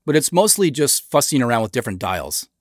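A man giving scripted director's commentary in a measured, explanatory manner into a close mic. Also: medium pitch, very animated, dry recording. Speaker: show creator and commentator.

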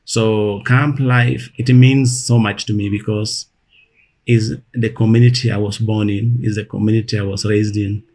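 A man in his thirties with a Ugandan accent, speaking as an interview guest in an earnest, measured way and repeating phrases for emphasis. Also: fairly low pitch, fairly even intonation, a little mellow. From northern Uganda. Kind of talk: recalling his life in an interview.

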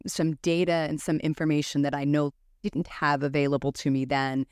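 A woman's voice: low-pitched, little pitch movement, moderate pace, a little mellow, smooth, no echo, clean studio sound. A middle-aged woman hosting a podcast, talking in a casual, conversational interviewing style. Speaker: podcast host.

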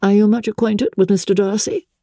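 Female reader as young period gentleman: dark husky tone, courteous, confiding, air of wounded dignity, classic novel reading. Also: mid-range voice, steady tone, a little fast, dry recording, studio quality, voice pushed up, lightly acted. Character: genteel, smooth-talking young gentleman officer, wounded dignity. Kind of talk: dramatic audiobook character reading.

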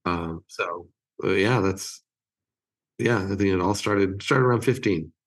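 A man in his fifties from California with an American accent, chatting as an interview guest in a halting, thoughtful way. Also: deep voice. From California, United States. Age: 50s. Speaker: interview guest, creative agency chief. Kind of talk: casual interview chat.

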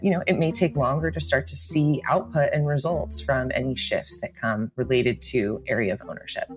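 A woman's voice: sits low, a little mellow. A woman giving explanatory interview answers in articulate corporate language, as a senior marketing director.

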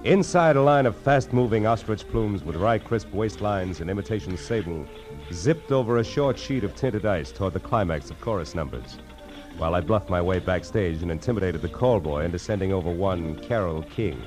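A hard-boiled detective in old-time radio style. His low voice is cool and slangy, moving between wry narration and clipped questioning, with music in the recording. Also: expressive, normal speed. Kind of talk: 1940s radio noir, narration and dialogue.

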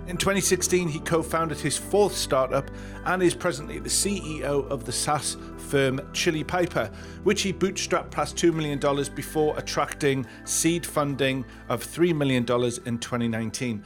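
A man's voice: fairly high pitch, normal speed, soft background music. A man hosting a podcast, reading a polished, upbeat intro and then interviewing a guest. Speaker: podcast host and performance coach.

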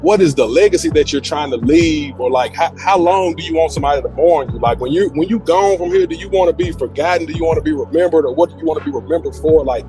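A man in his thirties, a podcast guest, talking casually and reflectively, thinking aloud in slangy, halting phrases. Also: on the high side, warm tone, rough recording. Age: 30s. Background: music.

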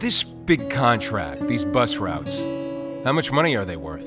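Smooth-talking male stranger for podcast drama. Flirtatious, manipulative, lively pitch movement, casual coaxing delivery. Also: a little slow, loud music behind the voice. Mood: coaxing, scheming. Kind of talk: naturalistic audio drama dialogue.

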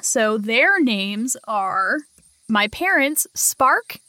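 A woman's voice: on the high side, very animated, drawn-out words, bright, forceful tone, dry recording. A woman playing in a tabletop game, speaking eagerly and earnestly in character. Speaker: player.

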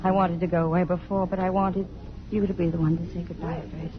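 An actress playing a wistful, self-sacrificing lover in a 1950s radio drama, speaking in a measured, earnest way. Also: female voice, on the low side, level tone, noisy background, close to an everyday voice. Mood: resigned, tender. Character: wistful, self-sacrificing woman in a doomed love affair. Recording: dull vintage radio, music behind.

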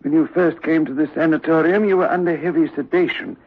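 Strict psychiatrist in an old radio drama, pushy and deliberate, really dull lo-fi sound. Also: male voice, on the high side, fairly even intonation, breathy edge. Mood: firm. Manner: stern, deliberate, commanding. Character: authoritative, insistent sanatorium doctor, firm and probing. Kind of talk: 1960s radio drama acting. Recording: muffled, lo-fi old radio recording.

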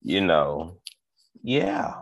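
A man's voice: sits low, huge pitch swings, very slow, creaky, no echo. Laid-back, teasing man, thirty-seven. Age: 30s. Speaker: podcast co-host. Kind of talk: casual chat.

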